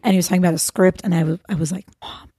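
Mellow-voiced woman producer, chatty guest. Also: lowish voice, fairly even intonation, racing, husky, dry recording, studio quality. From Los Angeles, USA. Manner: eager, chatty, many fillers and restarts. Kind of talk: casual interview chat.

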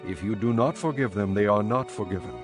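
A calm, gentle risen teacher speaks in a low, measured voice, as a man puts him on. Each phrase is solemn and unhurried. Soft music sits faintly behind. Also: natural voice. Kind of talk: dramatized scripture reading.

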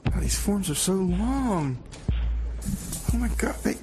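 A man's voice: sits high, expressive, slow pace, music in the background. A man playing a scatterbrained, boastful radio host in a comedy show, rambling and exclaiming in a flustered way. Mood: fretful. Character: scatterbrained, boastful radio host fretting over award paperwork. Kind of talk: comedy radio theater and music DJ banter.

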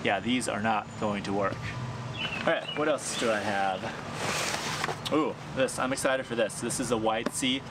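A man's voice: fairly low pitch, normal speed. Chatty man reviewing gear outdoors. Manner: chatty, thinking aloud with fillers and small laughs. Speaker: hiking vlogger. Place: sunny backyard, outdoors. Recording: outdoor noise behind the voice.

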